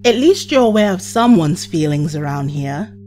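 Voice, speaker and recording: A woman's voice: fairly low pitch, animated, normal speed, darkish tone, a little hiss or hum, lightly acted. A woman playing a time traveller's hurt young companion in an audio drama, earnest and reproachful.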